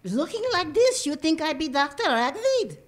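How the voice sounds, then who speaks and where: A woman voicing a grumbling, disfigured female lab assistant with a sullen, deadpan, blunt manner. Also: sits high, normal speed.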